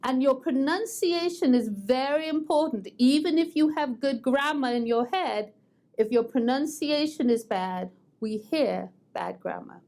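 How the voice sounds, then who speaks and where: American woman, English teacher; slightly high, very slow, patient drill delivery. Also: darkish tone. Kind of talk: online grammar lesson. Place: language school in Boston.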